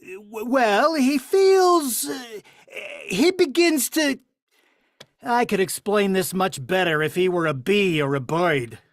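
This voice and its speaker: A woman's voice: medium pitch, animated, takes it slowly, dry recording, studio quality. A woman playing a bumbling, well-meaning middle-aged father in a 1950s-style radio sitcom, talking in a folksy, tongue-tied way. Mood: bemused. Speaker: radio play actor.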